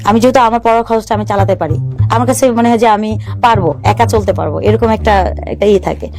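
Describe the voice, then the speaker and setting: A woman in her thirties from Bangladesh recounts her personal history in an earnest, steady voice, often acting out what her family members said. Her pitch sits in the middle of the range, and soft music plays faintly underneath. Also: fast. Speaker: caller sharing her life story. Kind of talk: personal storytelling in an interview.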